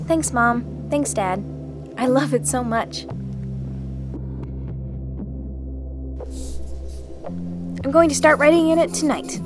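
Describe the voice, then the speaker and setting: Earnest, high-voiced young girl diarist. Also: lively intonation, normal speed. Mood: excited, shy. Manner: earnest, confiding. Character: earnest, insecure girl of twelve writing her diary. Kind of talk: radio drama diary narration. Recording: music under the voice.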